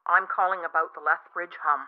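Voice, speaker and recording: A woman's voice: lowish voice, fairly even intonation, brisk. Thin telephone sound carries a nervous female caller in a radio play. She is earnest and insistent, a true believer in conspiracies phoning in to the host. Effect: telephone filter. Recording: tinny, narrow phone line.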